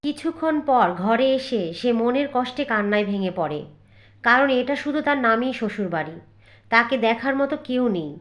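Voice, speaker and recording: A woman's voice: fairly high pitch, animated, normal speed, fairly bright tone, muffled recording. A woman reading scripted narration for a cartoon moral story.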